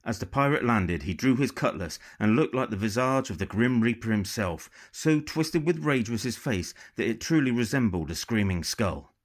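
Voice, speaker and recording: A man reading a novel as a dramatic, measured and expressive audiobook narration. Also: on the low side. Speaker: author reading own novel.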